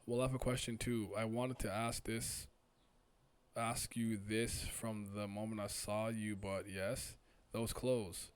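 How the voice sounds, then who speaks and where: A man's voice: on the low side, flat delivery, unhurried, clean tone, lightly acted. Man acting a blunt, suspicious questioner. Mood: curious, probing.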